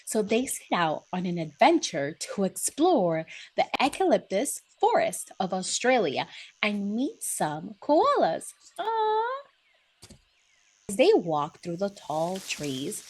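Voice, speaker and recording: A woman's voice: mid-range voice, darkish tone, slight background noise, poor audio. A woman hosting a read-aloud and drawing lesson for children, chatty, gushing and encouraging, often breaking into exclamations.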